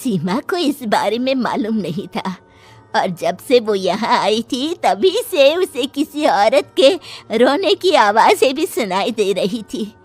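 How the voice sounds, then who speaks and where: A concerned villager, acted by a woman speaks slowly in a husky, lively-pitched voice. The cartoon character is hesitant, with faint music behind. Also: exaggerated voice. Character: concerned villager piecing things together.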